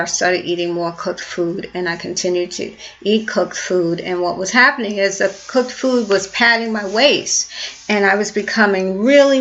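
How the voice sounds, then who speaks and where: Chatty woman in her fifties, slightly lo-fi. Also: medium pitch. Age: 50s. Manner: warm, chatty, many fillers and restarts. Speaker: health and purpose coach. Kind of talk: casual talking-to-camera vlog.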